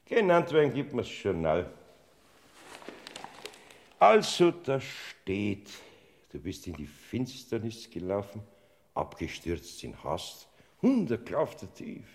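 An actor playing Saint Peter as a fussy but kindly older heavenly gatekeeper, with a Bavarian accent, in a classic radio play. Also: male voice, lowish voice, sing-song, slow pace, pauses often, heavily acted. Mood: reading. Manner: soothing, turns flustered and bossy.

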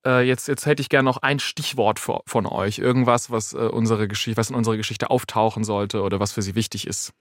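A man's voice: lowish voice, fairly even intonation, fairly quick, few pauses, no echo, clean studio sound. A man explaining things casually as an expert guest on a podcast, thinking aloud as he gives hands-on advice.

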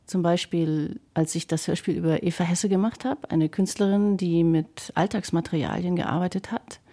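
Woman with a low, mellow voice. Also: fairly even intonation, normal speed. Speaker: composer and radio drama maker. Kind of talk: reflective interview statement.

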